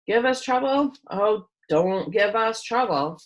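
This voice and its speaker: A woman's voice: mid-range voice, animated, takes it slowly, low-quality recording. A woman voicing a bossy male thief in a storybook, giving smug, menacing orders. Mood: threatening.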